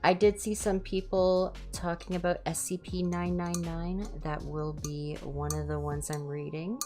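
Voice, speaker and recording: A woman hosting a livestream, chatting casually in ASMR style with a low-key, rambling, self-deprecating manner. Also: little pitch movement, unhurried, dark tone, faint music behind. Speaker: livestream host and reader.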